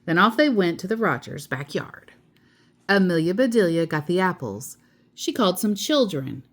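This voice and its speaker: A woman storyteller reading a children's book aloud in an expressive narrating style. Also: lowish voice, normal speed.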